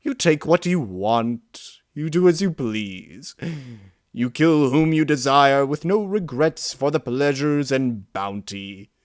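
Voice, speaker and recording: A man voicing a bloodthirsty male inner beast that taunts slowly and tempts to violence, in an audio reading of a story. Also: medium pitch, expressive, no echo, clean studio sound, pitched above the performer's own voice. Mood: taunting.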